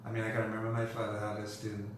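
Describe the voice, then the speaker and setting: Man, Dominican accent, lowish voice, rambling casual interview talk, very echoey room. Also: monotone, rapid-fire. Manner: rambling, often says "you know". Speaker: interview guest. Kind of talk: casual conversational recollection. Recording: very echoey room, distant mic, noisy.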